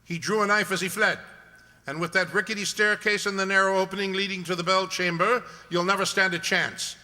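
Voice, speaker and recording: A stern detective of a radio mystery, played by a man, sure of himself and used to command. The voice is high for a man and very bright and cutting, declaiming with authority in a reverberant hall. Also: fairly even intonation, brisk. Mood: urgent, decisive.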